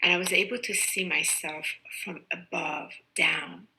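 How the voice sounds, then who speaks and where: Slow, bright-voiced woman reverend. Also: on the low side, fairly even intonation, gravelly, poor audio. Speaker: reverend telling her near-death story. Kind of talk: slow personal testimony.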